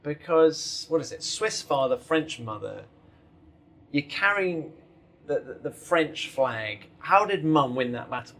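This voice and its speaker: A man's voice: fairly high pitch, animated, measured pace, a bit bright. Curious male podcast host. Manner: curious, prompting questions. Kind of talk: podcast interview.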